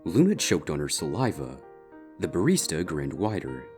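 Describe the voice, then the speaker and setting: A male narrator with a deep voice reads a scripted story. Quiet instrumental music sits behind the narration. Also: big pitch swings, moderate pace. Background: soft instrumental guitar music.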